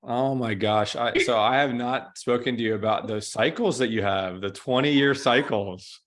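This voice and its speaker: A man's voice: medium pitch, moderate pace, fairly bright tone. A man hosting a podcast interview, speaking in an enthusiastic, effusive way. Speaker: podcast host. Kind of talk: casual interview conversation.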